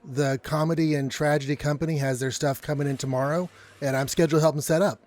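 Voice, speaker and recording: A good-natured, meek young coffee server in an audio drama, acted by a man. His voice is a little high for a man. He speaks mildly and hesitantly, quick to give way. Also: steady tone, a little mellow, close to an everyday voice. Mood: friendly, shy. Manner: hesitant, mild, apologetic.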